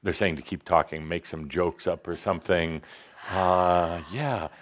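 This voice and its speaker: A man's voice: low voice, fairly even intonation, unhurried. A middle-aged man co-hosting a live studio show in casual chat, joking and laughing often. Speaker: co-host.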